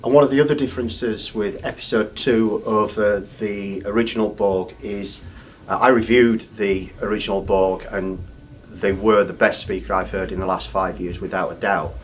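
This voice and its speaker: A man's voice: lowish voice, fairly even intonation, moderate pace. A man interviewing someone in a conversational style, as a reviewer asking questions. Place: busy hi-fi trade show. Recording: clearly noisy trade show ambience.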